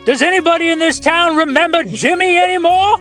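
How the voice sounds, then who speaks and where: A man's voice: extremely high pitch, fairly quick, fairly bright tone, soft background music. A man playing a flustered, self-pitying everyman husband in a comic radio play, whiny and exasperated. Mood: fed up.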